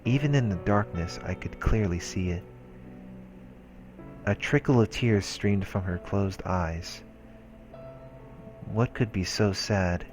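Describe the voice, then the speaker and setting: Male narrator, very low register, solemn scripted read, faint music underneath. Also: a little mellow. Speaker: let's play host reading a visual novel aloud. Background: game music.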